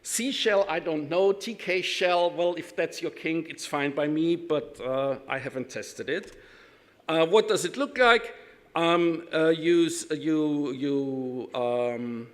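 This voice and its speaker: A man from Austria with an Austrian German accent, giving a technical conference talk, halting with many ums and restarts, with dry self-deprecating humor. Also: fairly high pitch. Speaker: conference speaker.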